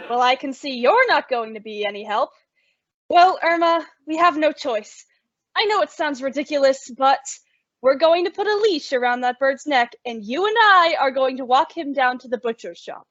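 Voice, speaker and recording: A woman's voice: high voice, expressive, moderate pace, a bit bright, no room sound. A woman playing a sensible, exasperated young roommate who also narrates an old-time radio comedy in a wry, bossy way. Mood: determined. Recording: dull, lo-fi, dry audio.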